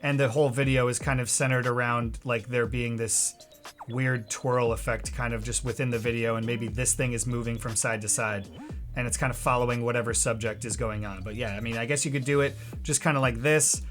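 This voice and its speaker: A man hosting a software tutorial in an informal, joking way, talking casually to his viewers. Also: medium pitch, fairly even intonation, fairly quick, soft background music. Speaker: host of a video editing tutorial series.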